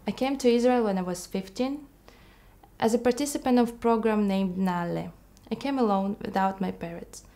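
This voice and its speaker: A woman of twenty-five with a Russian accent, speaking Russian as her first language, telling her personal story to camera in an earnest, reflective and slightly halting way, recorded in a bright studio. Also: mid-range voice. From Kazakhstan. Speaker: immigrant counselor.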